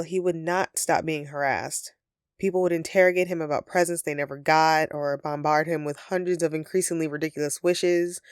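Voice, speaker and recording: A woman's voice: low voice, clean tone, dry recording, studio quality, close to an everyday voice. A woman playing a flustered, friendly Christmas elf secretly living as a roommate, speaking hesitantly and earnestly in an audio roleplay to the listener. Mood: earnest, insistent. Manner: hesitant, sheepish, conversational and earnest.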